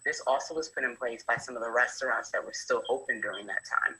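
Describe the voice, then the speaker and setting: A woman's voice: a bass voice, fast, sharp tone, low-quality recording. A young adult woman from New Jersey, a medical student intern, methodically explaining a hospital's procedures with frequent fillers. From New Jersey, USA. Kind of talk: prepared talk from experience.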